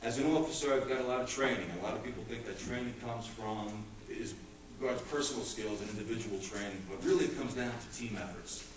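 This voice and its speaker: A man's voice: mid-range voice, fast, few pauses. A man giving a public award speech as a police captain, in an anecdotal, conversational way. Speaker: police captain presenting a commendation. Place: indoor public meeting room. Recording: echoey room, distant mic, clear background noise.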